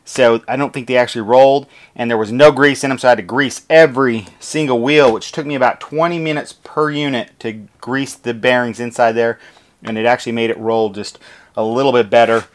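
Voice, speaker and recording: A man's voice: mid-range voice, steady tone, brisk. A man, casually reviewing tools in his garage workshop, talking practically and thinking aloud with fillers. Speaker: garage DIY channel host. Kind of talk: casual hands-on product review. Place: cluttered home garage workshop.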